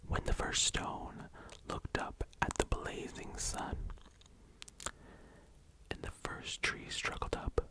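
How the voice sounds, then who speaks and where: A young adult ASMR host speaking and reading poetry in a breathy, gentle whisper, lingering on the words. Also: takes it slowly.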